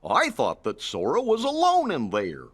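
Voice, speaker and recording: A man voicing a goofy, good-natured cartoon sidekick with a husky voice in an animated game. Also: fairly high pitch, a little slow, full character voice. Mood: puzzled. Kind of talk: animated video game voice acting.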